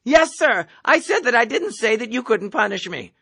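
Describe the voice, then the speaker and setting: A man's voice: very high voice, big pitch swings, moderate pace, creaky, a bit bright, slightly rough, pitched above the performer's own voice, full character voice. A man reading an audiobook, voicing a terrified young cadet who stammers and pleads politely. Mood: flustered. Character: terrified young male air cadet, timid and flustered. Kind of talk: audiobook character voice.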